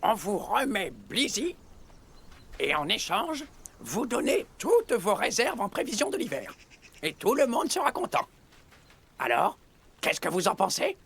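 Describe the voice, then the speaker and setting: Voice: mid-range voice, wildly swooping pitch, measured pace, vocal fry, long pauses, a bit bright, breathy edge, exaggerated voice. An actor voicing a scheming, bossy, smug animal villain in a French cartoon dub, with an exaggerated delivery. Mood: smug, wheedling. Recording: faint music behind the voice.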